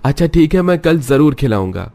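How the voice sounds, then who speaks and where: A man's voice: fairly high pitch, big pitch swings, fairly quick, creaky, dark tone. A man playing an earnest, downtrodden young father and poor worker in a melodramatic cartoon story, with a pleading manner. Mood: gentle. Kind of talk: melodramatic Hindi cartoon acting.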